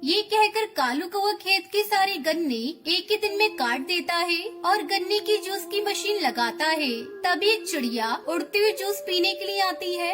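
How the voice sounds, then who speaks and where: A woman's voice: very high-pitched, animated, normal speed, hardly any pauses, bright, forceful tone. A woman reading scripted narration for a children's moral story. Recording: music bed under narration. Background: background music.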